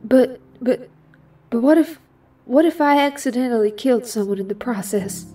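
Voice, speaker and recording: Woman playing high-voiced superhero gal. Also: moderate pace, dark tone, recorded from a distance, soft background music, natural voice. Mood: guilty, tearful. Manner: folksy, heartfelt. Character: Rogue, young Southern female mutant superhero, emotional. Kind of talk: audio drama acting.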